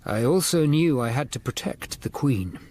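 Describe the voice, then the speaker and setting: An actor playing a grave Tudor lawyer-detective who narrates a radio drama in the first person, measured and sombre. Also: male voice, medium pitch, animated, darkish tone, slight background noise, lightly acted. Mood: apprehensive.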